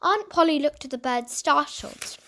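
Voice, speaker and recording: High-voiced woman reading a children's story. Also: animated, normal speed, pushed and bright, a little hiss or hum. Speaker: storybook reader. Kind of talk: children's novel read aloud.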